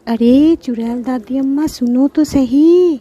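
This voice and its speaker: Woman as a coaxing young girl, high voice. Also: slow, dark tone, muffled recording. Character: clever young girl pleading with and coaxing a witch. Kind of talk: children's cartoon storytelling.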